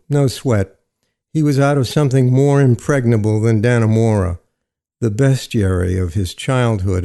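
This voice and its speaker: A man narrating literary fiction in a measured, deliberate storytelling manner. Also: medium pitch, mellow.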